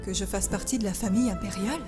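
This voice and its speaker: A woman dubbing a feisty, earnest young orphan in an animated film. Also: mid-range voice, fast talker, natural voice. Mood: wistful, unsure. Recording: long hall reverb, film music behind.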